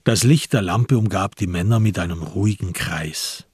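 A man narrating a radio crime drama in a measured, literary, sober way, with a husky voice. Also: deep voice, darkish tone, no room sound, studio quality. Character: sober storyteller of a crime tale.

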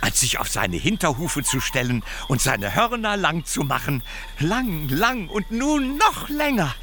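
An actor playing a theatrical storyteller in a radio play, telling a whimsical folk tale deliberately and dwelling on repeated words. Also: male voice, fairly high pitch, sharp tone, slight background noise, exaggerated voice.

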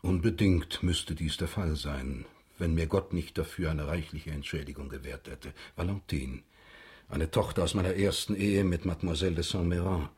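An actor playing a grave, formal middle-aged prosecutor in a German radio drama, speaking in a measured, husky voice. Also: male voice, deep voice, steady tone, a little mellow, heavily acted. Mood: solemn, formal.

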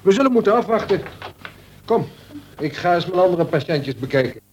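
A breezy prison doctor in a radio play, with a fairly high voice and animated intonation. He sounds casual and folksy, over a faintly noisy recording. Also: normal speed, muffled recording. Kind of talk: Dutch radio drama acting.

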